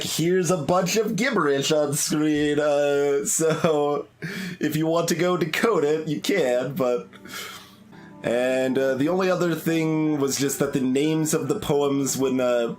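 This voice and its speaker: A young man giving commentary on a game, musing aloud with many fillers and restarts. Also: mid-range voice. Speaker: gaming YouTuber. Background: soft game music.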